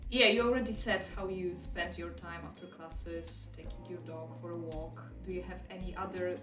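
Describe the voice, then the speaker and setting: A woman hosts an interview in a friendly way, pausing on fillers as she asks her questions. Her voice sounds distant and roomy, with faint music playing behind it. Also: fairly low pitch, fairly even intonation. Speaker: interviewer, university recruitment host. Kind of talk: interview hosting.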